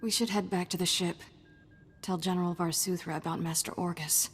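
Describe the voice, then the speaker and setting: An actress playing a practical young Jedi apprentice and pilot in a video game. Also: female voice, on the low side, steady tone, brisk, big-room sound, soft background music, close to an everyday voice. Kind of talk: video game cutscene acting.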